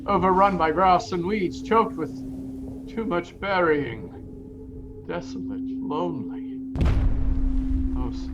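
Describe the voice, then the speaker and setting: An actor playing a repentant old miser in an audio play, halting and stammering with fervent feeling. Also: male voice, high-pitched, lo-fi sound. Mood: dread, sorrow. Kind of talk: theatrical audio-play acting. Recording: noisy audio-play recording.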